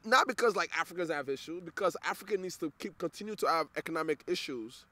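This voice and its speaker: A man's voice: fairly high pitch, animated, fast talker, bright, forceful tone, no room sound. A man from Nigeria, an interview guest, talking earnestly and restarting words as he searches for them. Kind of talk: casual interview conversation.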